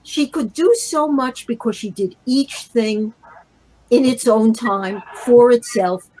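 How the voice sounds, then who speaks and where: An older woman with a fairly high, very husky voice reminisces as a guest. She speaks haltingly and spontaneously, circling back to repeat her phrases. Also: a little mellow, rough recording. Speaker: panel guest, playwright.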